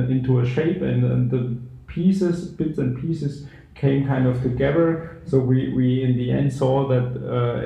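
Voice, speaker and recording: A man chatting as a guest on a casual podcast, halting with many restarts and a joking edge. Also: medium pitch, creaky, warm tone. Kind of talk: casual group podcast chat. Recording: very echoey room.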